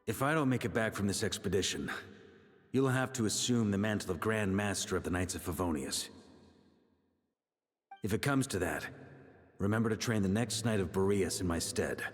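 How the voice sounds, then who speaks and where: An actor playing a seasoned, fatherly grandmaster knight in a video game, calm, assured and reassuring in a measured way. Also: lowish voice, natural voice. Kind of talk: video game voice acting, scripted dialogue. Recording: long cavernous reverb over faint music.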